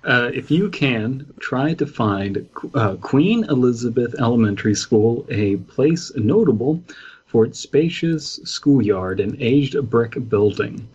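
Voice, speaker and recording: A man's voice: mid-range voice, lively intonation, slow pace, darkish tone, husky. A man co-hosting a podcast, chatting and reading aloud in an easygoing, jokey way. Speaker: podcast co-host. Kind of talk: casual chat and reading aloud. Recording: slightly dull, lo-fi microphone.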